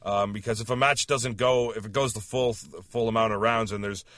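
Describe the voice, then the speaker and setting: Guy explaining his league, bright voice, eager, dry mic. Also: fairly low pitch, fairly even intonation, moderate pace, no echo. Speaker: league organizer guest. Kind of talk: casual chat.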